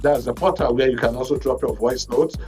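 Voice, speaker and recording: A man with a Nigerian accent presents a current affairs programme as its host, in a deliberate, formal broadcast delivery. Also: medium pitch, steady tone, a little mellow, faint music behind. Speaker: radio and TV talk show host.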